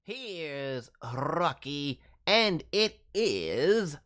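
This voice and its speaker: Boastful cartoon raccoon livestream host: silly and showy, rambling at an unhurried pace with lively pitch jumps. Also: male voice, heavily acted. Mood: upbeat, showy. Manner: playful mangled words, showy, rambling. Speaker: host reviewing voice demo reels on a livestream. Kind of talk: livestream chat in a cartoon character voice.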